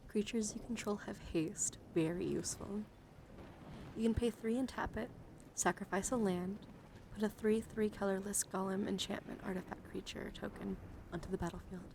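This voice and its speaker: A soft-spoken woman live streamer doing a casual ASMR card show-and-tell, chatting and often dropping into a whisper. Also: medium pitch, fairly even intonation, moderate pace, vocal fry, background noise.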